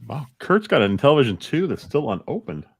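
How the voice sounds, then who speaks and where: A man's voice: mid-range voice, very animated, quick pace, breathy edge, dry recording. A man co-hosting a podcast, chatting casually and telling everyday anecdotes. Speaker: podcast co-host.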